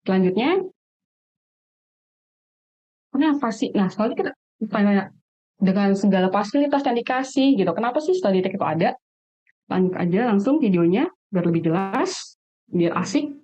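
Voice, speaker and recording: A woman's voice: medium pitch, animated, a little fast, mellow. A woman with an Indonesian accent hosting a webinar in a casual, chatty, slangy way, heard over an online call. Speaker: webinar host. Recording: dull, lo-fi webinar audio.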